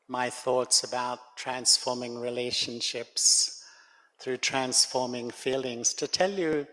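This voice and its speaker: An older man speaks slowly and gently, telling his story in a reflective way. His voice sits at an ordinary pitch. It rings out with long reverb in a hall. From Australia. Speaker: guest speaker. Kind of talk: spiritual talk to a gathering. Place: meditation center hall.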